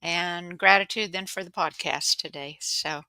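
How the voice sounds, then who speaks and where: A woman's voice: fairly low pitch, fairly even intonation, measured pace, pushed and bright, smooth, dry recording. A woman hosting a podcast over a video call, chatting in an upbeat, rambling way. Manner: upbeat and chatty, heavy on fillers and restarts. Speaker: podcast host. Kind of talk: casual interview chat.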